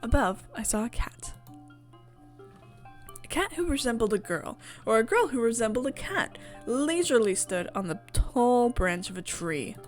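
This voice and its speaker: A woman's voice: fairly high pitch, very animated, normal speed, soft background music. A woman reading story narration aloud from a visual novel in a casual playthrough. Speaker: visual novel reader and streamer.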